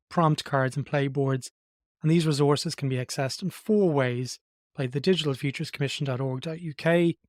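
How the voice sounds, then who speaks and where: A man's voice: fairly high pitch, darkish tone, dry recording, clean studio sound. A man hosting a design podcast, talking in an enthusiastic, conversational way. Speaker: podcast host. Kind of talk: podcast intro and interview.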